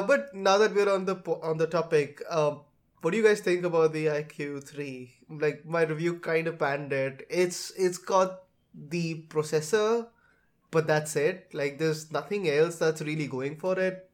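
Higher-pitched man chatting casually. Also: normal speed. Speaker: podcast host, tech reviewer. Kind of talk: casual tech podcast discussion.

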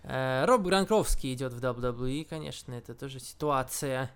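A man co-hosting a podcast, chatting casually in a low-key, subdued way. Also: a little fast, crowd noise. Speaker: co-host of a wrestling podcast. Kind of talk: casual chat.

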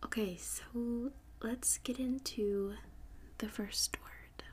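A woman podcast host whispering softly in an ASMR style, breathy and unhurried, reading out and repeating words. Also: fairly high pitch, fairly even intonation. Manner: soft, unhurried, repeats and spells words slowly.

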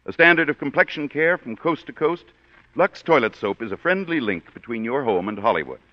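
A man's voice: mid-range voice, lively intonation, brisk. A man hosting a radio theater broadcast, giving a formal, florid introduction in a polished announcer manner, on a dull lo-fi recording. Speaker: radio show host and producer.

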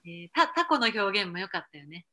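Bright-voiced woman in casual chat. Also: medium pitch, big pitch swings, racing. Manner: reactive listener, frequent agreeing murmurs and short questions. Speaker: podcast co-host.

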